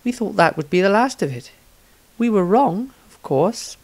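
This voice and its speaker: A man voicing a compassionate, earnest woman adventurer, reading her fantasy diary in a soft, subdued way. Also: high voice, lively intonation, normal speed, voice pushed up. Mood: gentle, regretful.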